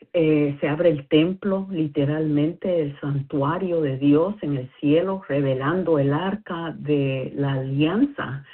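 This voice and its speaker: A woman calling in to share a bible study, speaking as a non-native speaker, hesitant and careful with many fillers. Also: low voice. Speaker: caller. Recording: tinny phone line.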